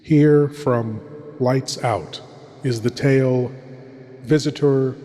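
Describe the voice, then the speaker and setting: A man performing as a sinister, suave horror host, giving scripted introductions with theatrical, portentous pauses. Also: medium pitch, fairly even intonation, dark tone, close to an everyday voice. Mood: brooding. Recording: long cavernous reverb.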